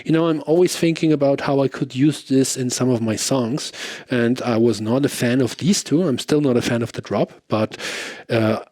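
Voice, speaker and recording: A man's voice: mid-range voice, normal speed, a little mellow, dry recording. A man giving a casual product demo as a guitarist, thinking aloud in an informal way. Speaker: guitarist reviewing effects pedals.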